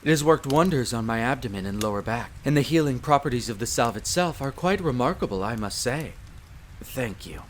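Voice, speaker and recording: Man as a genteel vampire-castle gentleman, medium-pitched, formal, polite, old-fashioned wording, roleplay audio drama, noisy background. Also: animated, brisk, natural voice. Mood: grateful, formal. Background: ambience, crackling fire.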